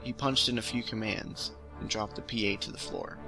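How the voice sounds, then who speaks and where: A man's voice: on the low side, steady tone, moderate pace, poor audio. A man hosting a storytelling series, reading his own science fiction story aloud in a casual, amateur way. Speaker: storytelling host. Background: music under the voice.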